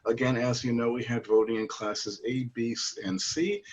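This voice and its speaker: A man with a Brooklyn accent runs a meeting over a video call in a genial, formal way. The call audio is dull and lo-fi. Steady noise sits under his voice. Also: medium pitch, moderate pace. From New York. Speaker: meeting chair. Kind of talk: chairing a virtual meeting.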